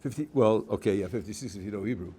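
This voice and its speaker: New rabbi reading verses out then explaining them, slight hiss behind. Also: male voice, on the low side, fast talker, warm tone. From New England. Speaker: rabbi teaching a student. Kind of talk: one-on-one text study.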